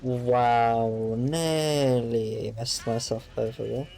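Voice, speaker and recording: A man's voice: medium pitch, fairly even intonation, extremely slow, creaky, warm tone, a little hiss or hum. A young man, playfully muttering reactions over his game. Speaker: gamer. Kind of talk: let's play commentary.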